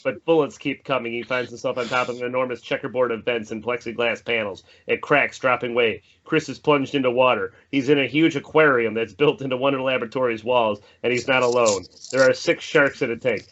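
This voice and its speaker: Man narrating a horror script, medium pitch. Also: fairly even intonation, brisk, hardly any pauses, fairly bright tone. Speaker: narrator reading stage directions. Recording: slightly dull mic, faint noise.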